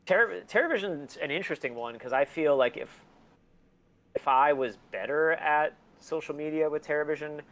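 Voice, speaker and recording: A man chatting casually as an interview guest, self-deprecating and quick to swear. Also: fairly high pitch, lively intonation, a bit bright. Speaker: podcast guest, record label and museum owner. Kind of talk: casual chat.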